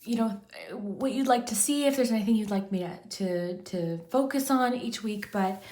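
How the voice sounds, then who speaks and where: A woman's voice: mid-range voice, normal speed, darkish tone. Chatty woman hosting a tarot reading. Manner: chatty, rambling, frequent "kind of" and restarts. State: losing her voice. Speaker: tarot reader, channel host. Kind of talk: casual pick-a-card tarot chat to camera.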